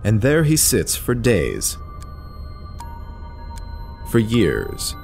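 Low-pitched male storyteller with lively inflection. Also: very slow, gravelly, faint music behind. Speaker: narrator. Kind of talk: scripted story narration in audio drama.

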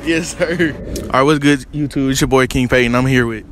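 A man's voice: medium pitch, a little fast, a bit bright, slight background noise. A young man hosting a casual street interview inside a shopping mall, greeting viewers in an upbeat way.

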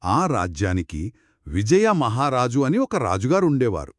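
A man co-narrating a children's moral story in an expressive, conversational way. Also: medium pitch, measured pace, dry recording, studio quality. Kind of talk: conversational two-person storytelling.

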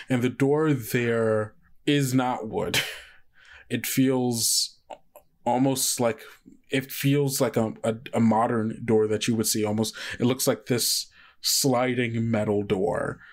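A man with an American accent who runs a tabletop game, narrating off the cuff in a conversational, rambling way full of asides. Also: medium pitch. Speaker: game master.

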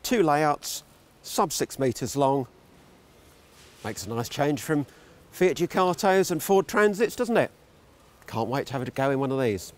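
A man's voice: a little high, expressive, a little slow, long pauses. An older man presenting a walkaround of motorhomes at an indoor exhibition hall, with wry, dry humour. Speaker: motorhome reviewer.

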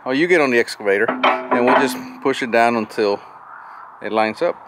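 A man's voice: medium pitch, lively intonation, normal speed, over loud music. A man chatting casually and jokingly while doing hands-on machinery work outdoors. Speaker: vlogger working on machinery. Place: outdoor gravel yard beside a metal shop building. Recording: noisy outdoor camera audio, machinery hum.

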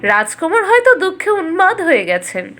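A scornful royal courtier from a cartoon storybook, put on by a woman. The voice rises high as it mocks, full of incredulous doubt. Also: wildly swooping pitch, normal speed, fairly bright tone, heavily acted. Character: scornful doubting male courtiers mocking a prince. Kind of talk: storybook narration with acted dialogue.

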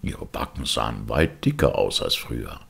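A man reading a fairy tale as an audiobook, in an expressive storytelling manner. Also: rumbling low, moderate pace, creaky, husky. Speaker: audiobook reader. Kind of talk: scripted fairy-tale narration.

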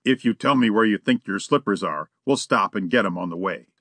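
Cheeky slangy burglar, easygoing and chatty, bright tone, dry close sound, voiced by a male narrator. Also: lowish voice, expressive, fairly quick, no echo.

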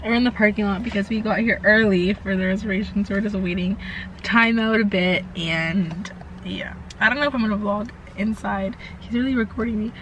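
A woman in her twenties talking to a handheld camera in a casual vlog, chatty and hoarse from losing her voice. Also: mid-range voice, steady tone, fairly quick, fairly bright tone, slight background noise, low-quality recording. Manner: chatty, says "like" and "oh my gosh" often. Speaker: vlogger. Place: bedroom, then inside a car. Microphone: handheld camera at arm's length.

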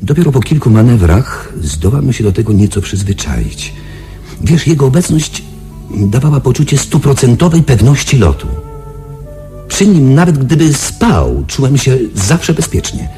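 An actor playing a shaken young space patrol pilot, confiding his story in a halting, dramatic radio-play delivery. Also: mid-range voice, sing-song, dark tone, soft background music. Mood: subdued, reflective.

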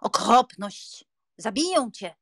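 A woman's voice: on the high side, very animated, slow, a bit bright, dry recording, studio quality, heavily acted. A woman playing a pious, fretful elderly aunt in an audiobook drama, scolding, sighing and lamenting. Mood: indignant.

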